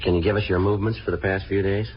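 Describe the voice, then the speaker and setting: Actor as deep, rapid-fire deadpan police detective. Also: male voice, a little hiss or hum, close to an everyday voice. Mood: businesslike, probing. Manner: clipped, deadpan, matter-of-fact. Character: terse, businesslike police detective, narrating his own case. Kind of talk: 1950s radio police procedural acting and narration. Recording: muffled old radio broadcast recording.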